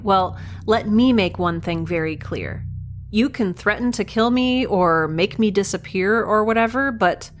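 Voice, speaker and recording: A woman's voice: medium pitch, slight background noise, close to an everyday voice. A woman playing a captive young scientist in a science fiction audio drama, wry, plain-spoken and sarcastic as she bargains.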